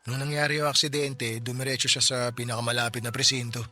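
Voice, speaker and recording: A man's voice: medium pitch, level tone, sharp tone, soft background music. A Filipino man voicing a poor widower who has just lost his family, weary and bitter in a radio drama. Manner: weary, bitter, speaks slowly when stressing words. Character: grieving poor widower, tricycle driver, bitter about justice. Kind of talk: Tagalog radio drama acting.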